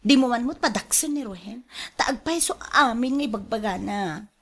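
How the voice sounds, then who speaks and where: A woman's voice: a little high, lively intonation, moderate pace. A woman playing a clingy, petulant young mistress in a radio drama, whining and wheedling with an Ilocano accent. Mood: lovestruck, pouting.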